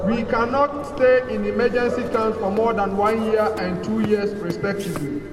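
A man from Ghana giving a protest speech at an outdoor demonstration, slow and halting with restarts. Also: high voice, recorded from a distance. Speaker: protest speaker. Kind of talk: rally speech. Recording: echoey outdoor PA from afar.

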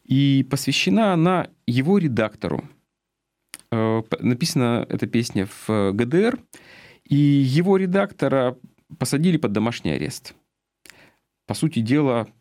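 A man giving spoken political commentary in an earnest, deliberate way. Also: mid-range voice, a little mellow, dry recording, studio quality.

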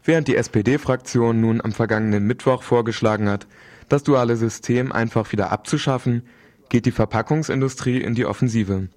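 A man's voice: medium pitch, normal speed, a little mellow. A man working as a radio presenter, reading an introduction and putting questions to a guest. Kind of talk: scripted introduction and phone interview.

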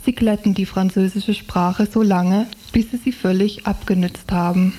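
A woman's voice: mid-range voice, fairly even intonation, normal speed, warm tone, slight background noise. A woman reading excerpts from a novel aloud in a literary style. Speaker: reader of book excerpts.

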